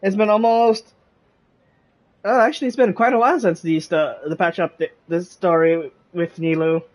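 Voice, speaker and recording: A woman's voice: on the low side, steady tone, moderate pace. A woman giving casual playthrough commentary as she streams a video game. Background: game music.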